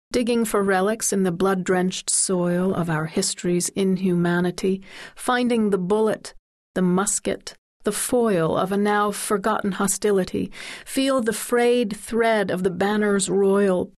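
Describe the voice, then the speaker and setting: A woman narrating a literary story in verse as an audiobook, with measured, dramatic recitation. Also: medium pitch.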